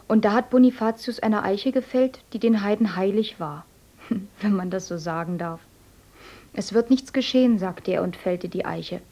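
An actress playing a devout, soft-spoken young woman in a radio play. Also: medium pitch, close to an everyday voice. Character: pious clever young woman, gentle and humble. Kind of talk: radio drama acting. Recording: dull lo-fi recording.

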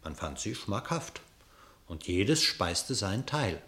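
A man narrating a classical verse radio drama in an expressive, literary storytelling manner. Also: lowish voice, takes it slowly.

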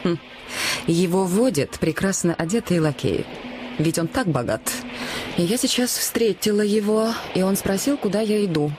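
An actress plays a coquettish woman of high society in a satirical fairy-tale drama. She speaks with knowing irony and theatrical flair. Music plays clearly behind her. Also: expressive, moderate pace, darkish tone, close to an everyday voice. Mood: ironic, warning. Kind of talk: satirical fairy-tale radio play acting.

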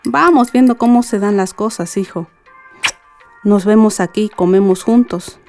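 An actress playing an affectionate middle-aged Mexican mother and school head in a radio soap, gentle and encouraging. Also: mid-range voice, moderate pace, soft background music, natural voice. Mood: fond, hopeful. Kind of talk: radio soap opera dialogue.

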